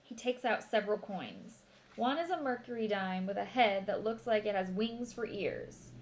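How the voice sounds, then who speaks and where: Woman narrator, middle-pitched voice, expressive read-aloud, storybook storytelling. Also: normal speed, slight background noise.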